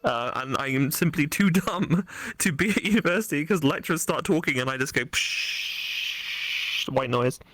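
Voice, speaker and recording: A woman's voice: sits low, expressive, normal speed, fairly bright tone. A woman giving casual, blunt and sarcastic co-commentary on a let's play, swearing freely.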